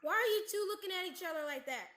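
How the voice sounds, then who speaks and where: A woman's voice: very high-pitched, animated, fast, sharp tone, exaggerated voice. A teenage woman acting an anxious, sceptical survivor in a radio theatre play. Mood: exasperated. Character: anxious, sceptical trapped survivor, quick to blame. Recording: echoey room, faint noise.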